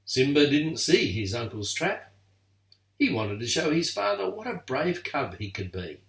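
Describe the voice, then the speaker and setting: Older man, lively storybook reader. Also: medium pitch. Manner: lively, expressive read-aloud. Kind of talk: bedtime story reading. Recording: echoey room, far from mic.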